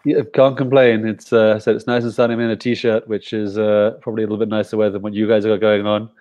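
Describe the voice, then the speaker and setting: British startup founder, deep voice, brisk and eager explainer, dry video-call audio. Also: male voice, flat delivery, darkish tone, dry recording, muffled recording. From Britain. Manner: explains eagerly with rhetorical "right?" checks. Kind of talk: casual video call interview.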